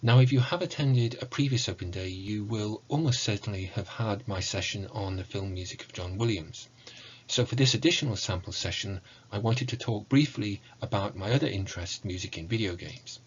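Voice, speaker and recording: A middle-aged man delivers an online lecture. His voice is lowish and husky, his delivery measured and explanatory. The recording is a little muffled. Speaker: university lecturer in music. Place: small indoor room with bookshelves.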